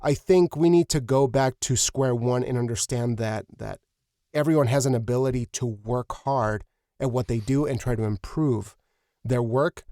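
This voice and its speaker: A man hosting a conversational interview, speaking earnestly and haltingly, often repeating words as he thinks. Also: mid-range voice, warm tone, no echo, clean studio sound. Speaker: podcast host.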